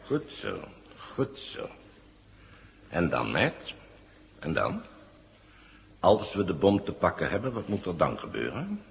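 An actor playing a smug, condescending villain in command in a vintage Dutch radio play. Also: male voice, low-pitched, huge pitch swings, takes it slowly, creaky, pauses often, exaggerated voice. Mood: smug, mocking. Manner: mock-friendly, condescending.

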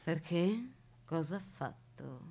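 A woman's voice: low voice, lively intonation, slow pace, dark tone, slightly rough, exaggerated voice. An actress playing an eccentric, reclusive woman who keeps a man chained in her house, teasing and whimsical in a radio play. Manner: whimsical, teasing, matter-of-fact about absurd things. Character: eccentric reclusive woman jailer, gleefully odd. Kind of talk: radio theatre acting.

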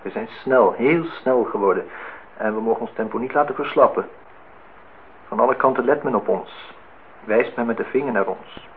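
A man's voice: mid-range voice, normal speed, close to an everyday voice. Actor as a reflective man, tinny old recording. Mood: driven, bitter. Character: polite, reflective, wistful male office worker. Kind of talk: Dutch radio drama acting. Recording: tinny, band-limited old radio recording, faint noise.

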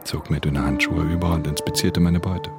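An actor playing a brooding male pickpocket who narrates a radio drama in a measured, introspective, gravelly voice. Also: low voice, fairly even intonation, loud music behind the voice. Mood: wistful, controlled. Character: brooding male pickpocket narrating his criminal life in Tokyo.

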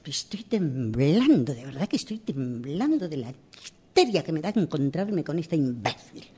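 An actress playing a frantic, impatient woman muttering irritably to herself in a radio theatre exercise, husky and sighing. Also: deep voice, big pitch swings, normal speed, warm tone, exaggerated voice. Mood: frantic, self-soothing. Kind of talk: improvised radio theatre monologue.

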